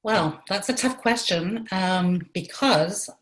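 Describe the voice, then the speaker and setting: A woman from Zimbabwe answering panel questions in a relaxed way over a video call. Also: medium pitch, slow pace, low-quality recording. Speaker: former exchange student.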